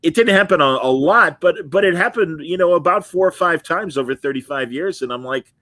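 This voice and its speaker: A man's voice: fairly high pitch, expressive, fairly quick, bright, forceful tone, dry recording, studio quality. A middle-aged man hosting a casual interview podcast, chatting loosely and reading out viewer comments. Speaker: podcast host.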